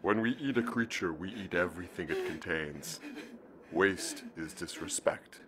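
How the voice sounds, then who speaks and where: A cold, calm alien creature in a sci-fi audio drama. The male voice is deep and measured, stating things matter-of-factly. Faint ambient sound sits behind it. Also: background noise.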